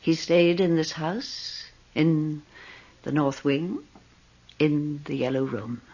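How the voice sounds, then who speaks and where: An old, wealthy widow in a radio drama. Her low, husky voice rises and falls with genteel, self-serving insinuation. The recording is slightly dull and lo-fi. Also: fairly even intonation, slow, a little mellow. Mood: eerie, grave. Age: older.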